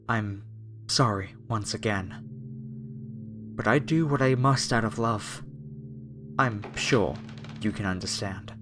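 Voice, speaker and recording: A man's voice: fairly low pitch, fairly bright tone. A man playing a polite, apologetic masked cultist, a former music director, in a horror ASMR roleplay addressed to the listener. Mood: genteel, wistful.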